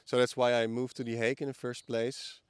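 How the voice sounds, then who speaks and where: A man's voice: medium pitch, flat delivery, fairly quick, smooth, dry recording. A man in his early forties with a Dutch accent, answering casually as a guest in an interview outdoors. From the Netherlands. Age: early 40s. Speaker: podcast guest. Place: outdoors in a park.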